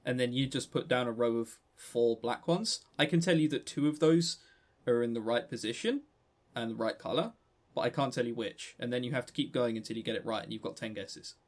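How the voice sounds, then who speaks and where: A man's voice: medium pitch, fairly quick. A man co-hosting a podcast, chatting casually and unscripted about games in a joking, teasing way. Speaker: podcast co-host.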